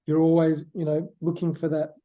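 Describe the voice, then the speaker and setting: A man's voice: fairly high pitch, completely flat delivery, moderate pace, mellow. A man from Sydney, a company founder answering interview questions in a fluent, thoughtful way with many fillers. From Sydney, Australia.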